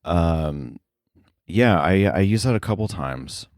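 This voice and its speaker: A man talking casually as an interview guest, halting often and correcting himself as he goes. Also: sits low, expressive, darkish tone, no room sound, clean studio sound. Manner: halting, filler-heavy, self-correcting. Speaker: podcast guest, sound editor.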